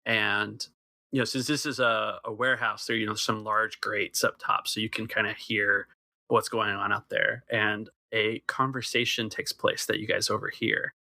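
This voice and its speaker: A man narrating as game master, his low voice flat in pitch with a gravelly edge. The tone is very bright and sits close and dry on the mic. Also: normal speed, no echo. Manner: relaxed, loose descriptive narration.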